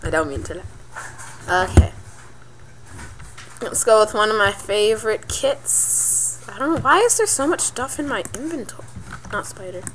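A woman giving live gameplay commentary, yelping, pleading and cheering as she plays. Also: mid-range voice. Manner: excitable, yelps and pleads mid-game. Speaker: gaming channel host. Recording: clicky keyboard noise. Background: keyboard and mouse clicks.

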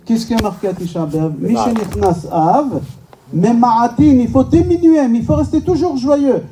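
Male rabbi, native Hebrew speaker, high-pitched voice, lecturing informally and emphatically, recorded at a distance in a hall. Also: moderate pace, warm tone, slight background noise. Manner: rhetorical questions, emphatic repetition, joking. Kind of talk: informal religious lecture to a live audience. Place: medium-sized indoor synagogue function room.

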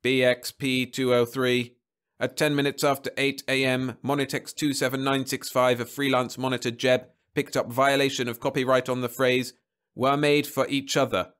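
A man's voice: mid-range voice, little pitch movement, normal speed, a bit bright, clear, resonant tone, pitched above the performer's own voice, natural voice. Guy as a droning sci-fi machine reading out notices, clipped and official, mechanical. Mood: flat, official.

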